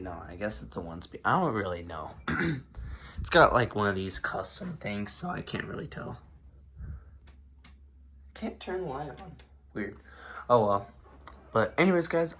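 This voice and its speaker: A man who has been sick and sounds a bit off, talking casually and hesitantly at home, full of ums and unsure asides. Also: medium pitch. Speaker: ceiling fan video maker. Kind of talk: casual filmed show-and-tell. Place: home room indoors.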